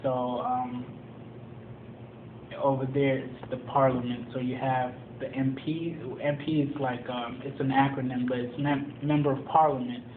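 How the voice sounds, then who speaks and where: A young man from Tanzania, a college student, answering interview questions in a measured, explanatory way with many pauses and ums. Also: mid-range voice, little pitch movement. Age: young adult. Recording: echoey room over a tinny phone-like line with background noise.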